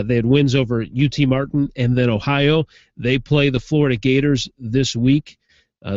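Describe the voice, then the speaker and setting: A man, stuffed up from a sinus infection, giving a casual football recap as a sports radio host who gets animated when something amuses him. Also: medium pitch, no room sound, lo-fi sound.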